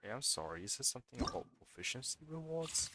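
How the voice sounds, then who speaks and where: A man's voice: medium pitch, sing-song, fast, over music. A man casually commentating on a game live, thinking aloud in a hesitant way. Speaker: game streamer.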